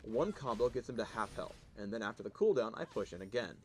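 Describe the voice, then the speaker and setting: A man's voice: fairly low pitch, expressive, quick pace, a little hiss or hum. A man presenting a game spotlight in scripted, instructional tutorial narration. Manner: instructional, explanatory.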